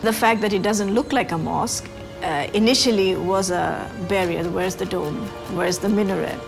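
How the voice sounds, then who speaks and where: A woman architect in her forties, speaking thoughtfully and measured in an interview, with pauses and fillers. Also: mid-range voice, gravelly. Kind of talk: interview about her work. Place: indoors in a brick building. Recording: music bed underneath.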